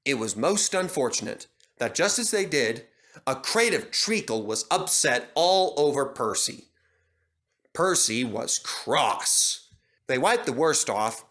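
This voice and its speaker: A man narrating a children's train storybook in an animated storytelling manner. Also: medium pitch.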